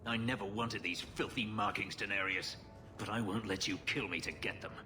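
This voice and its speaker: A man's voice: fairly low pitch, fairly even intonation, moderate pace, bright, forceful tone. An actor playing a brooding, guarded male elf who escaped slavery, intense and terse, in a video game. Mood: angry, bitter. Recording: faint background noise.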